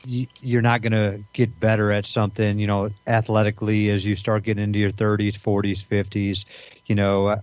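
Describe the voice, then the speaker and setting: A man in his fifties co-hosting a podcast, talking in halting casual chat full of fillers. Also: on the low side, no echo. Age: 50s. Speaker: podcast co-host. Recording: dry, dull lo-fi sound.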